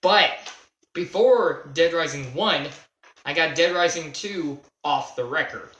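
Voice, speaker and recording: A young man telling a casual, unscripted gaming story in a halting, self-deprecating way, stressing key words as he goes. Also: fairly high pitch, fairly bright tone, muffled recording. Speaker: video creator telling a personal gaming story. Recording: roomy, echoey home recording.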